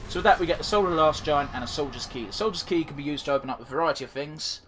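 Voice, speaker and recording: A man's voice: fairly high pitch, racing, a bit bright, a little hiss or hum. Chatty man with a blocked nose. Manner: chatty, swears casually, trails off with fillers. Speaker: gaming commentator. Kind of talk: casual let's-play commentary.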